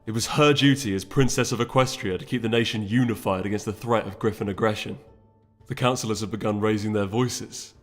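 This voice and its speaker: A man's voice: on the low side, fairly even intonation, a little fast. A man narrating fantasy fiction from a script, as an audiobook reader. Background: music.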